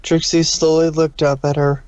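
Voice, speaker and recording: A story narrator with an androgynous, mid-pitched voice reads fan fiction from a script. The reading is slightly stumbly, and the audio is dry and lacking in detail. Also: little pitch movement, normal speed, darkish tone, clear, resonant tone, dry recording. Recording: dull, muffled dry audio.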